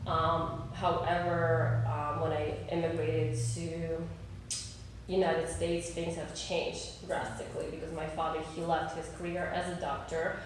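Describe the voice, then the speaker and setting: A woman in her thirties who grew up in Russia and Armenia, with Russian as her first language, telling her life story in a casual interview with many pauses and restarts. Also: deep voice, little pitch movement, heavy reverb, recorded from a distance, background noise. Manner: hesitant, many fillers and restarts, frequent laughs. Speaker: interview guest.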